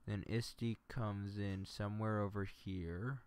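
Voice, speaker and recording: A man's voice: lowish voice, fairly even intonation, measured pace, darkish tone, clean tone, dry recording. A man talking casually on a livestream, explaining his editing as he goes and muttering to himself between explanations. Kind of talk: casual livestream tutorial, thinking aloud while editing.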